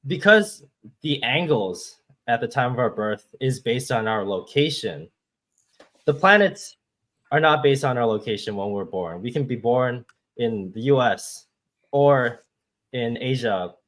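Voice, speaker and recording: A man's voice: mid-range voice, animated, a little slow, fairly bright tone. A man from Hong Kong, a guest astrologer, patiently explaining step by step over a video call. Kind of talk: explaining with shared screen.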